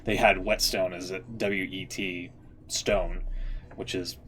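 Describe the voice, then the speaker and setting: A man's voice: low voice, steady tone, takes it slowly, a bit bright, a little hiss or hum. A man streaming live, giving casual, rambling commentary and thinking aloud, with short interjections. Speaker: streamer reacting to game story readings.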